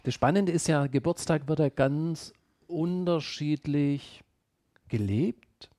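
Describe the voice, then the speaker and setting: Soft-spoken man with a dark voice. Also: fairly high pitch, slow, no room sound, studio quality. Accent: Swabian German. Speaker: podcast co-host. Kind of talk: casual conversation.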